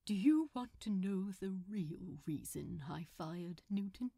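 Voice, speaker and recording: Woman doing a prim male supervillain, storybook reading, wistful, dramatic, earnest, animated intonation, close dry sound. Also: mid-range voice, takes it slowly, no echo, pitched below the performer's own voice. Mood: wistful, hurt. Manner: theatrical, earnest, indignant. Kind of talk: acted fan fiction audiobook reading.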